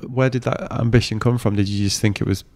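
A man's voice: fairly low pitch, fairly even intonation, fast, creaky. A man hosting a casual interview, asking easygoing questions and adding short agreements. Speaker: interviewer, podcast host.

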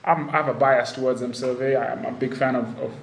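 A man's voice: medium pitch, fairly even intonation, quick pace, gravelly. A man hosting a casual podcast interview. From Kenya. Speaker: podcast host. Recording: echoey room with faint background noise.

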